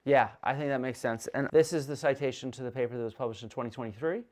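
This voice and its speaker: Guy hosting an online chat, mid pitch, rambly and laughing a lot. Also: fast talker, a little mellow. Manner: chatty, laughs easily, stumbles and restarts. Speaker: host. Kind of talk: casual interview.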